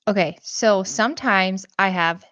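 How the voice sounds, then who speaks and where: A woman's voice: mid-range voice, measured pace, fairly bright tone, dry recording. Woman host on a dull video call. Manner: chatty, asks questions with fillers. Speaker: show host. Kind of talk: casual interview over video call.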